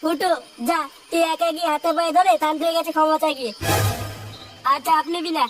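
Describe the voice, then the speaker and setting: A woman's voice: extremely high pitch, steady tone, fast talker. A woman voicing a bossy, foul-mouthed young male leader of a gang of village friends in a comic cartoon, brash and scolding. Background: music.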